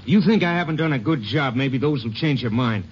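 A man's voice: mid-range voice, lively intonation, a little fast, husky. An actor playing a defensive, touchy small-town constable in a 1950s radio drama. He sounds agitated and defensive. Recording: muffled vintage radio broadcast.

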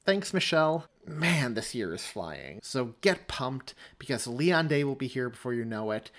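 A man's voice: medium pitch, very animated, moderate pace, fairly bright tone. A man hosting a podcast, giving chatty, enthusiastic announcements to listeners. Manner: enthusiastic, upbeat promotion. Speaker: podcast host.

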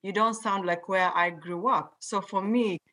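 A woman from Kenya with a Kenyan accent, speaking slowly and carefully as a guest on a panel discussion. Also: mid-range voice, fairly even intonation, fairly bright tone. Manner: measured, deliberate enunciation.

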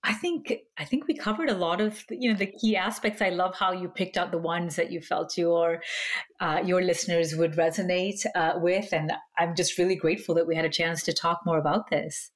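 A middle-aged woman born in Malaysia, a psychologist speaking in a measured, explanatory way as a podcast guest. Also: fairly low pitch. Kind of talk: podcast interview.

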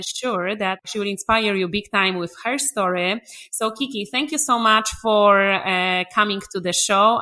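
A woman's voice: mid-range voice, bright, forceful tone. Upbeat woman hosting a podcast. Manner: enthusiastic, motivational. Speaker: podcast host.

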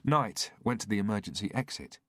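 A man's voice: lowish voice, expressive, fairly quick, a bit bright, no echo, studio quality. A man reading a scripted thriller as an audiobook narrator.

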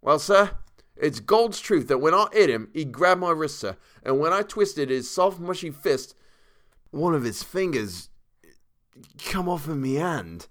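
A man's voice: fairly high pitch, sing-song, measured pace, fairly bright tone, voice pushed up. A man voicing a young Cockney English servant and ex-soldier, indignant yet deferential, with uneducated, emphatic speech, in a story reading. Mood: uneasy disgust.

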